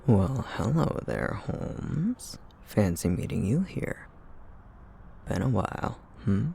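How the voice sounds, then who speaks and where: A man's voice: on the low side, big pitch swings, slow, vocal fry, dark tone, breathy edge, full character voice. Male actor as cheeky man, ASMR roleplay, breezy, teasing, theatrical, fully voiced.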